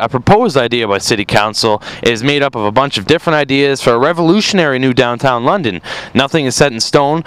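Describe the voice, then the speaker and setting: A man's voice: mid-range voice, a bit bright, smooth. A man reading scripted narration as the reporter of a short documentary.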